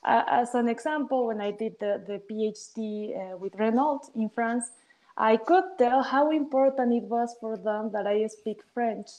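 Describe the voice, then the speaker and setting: A young woman with a fairly high voice speaks as a researcher on a discussion panel. She talks in a semi-spontaneous way, forming her points as she goes. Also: measured pace, a little mellow, highs cut off. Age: young adult. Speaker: panelist, postdoctoral battery researcher.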